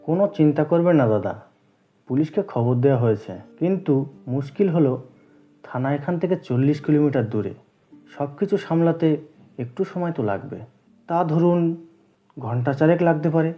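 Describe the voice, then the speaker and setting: A man voicing a plain-spoken, matter-of-fact bus conductor who explains things colloquially in an animated horror story. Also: fairly high pitch, moderate pace, pauses often, natural voice. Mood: reassuring. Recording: dull lo-fi audio with music behind.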